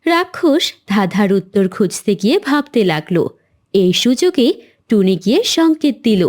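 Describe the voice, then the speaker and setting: A woman's voice: on the high side, big pitch swings, normal speed, a little mellow. A woman narrating a cartoon fairy tale in a scripted storytelling style.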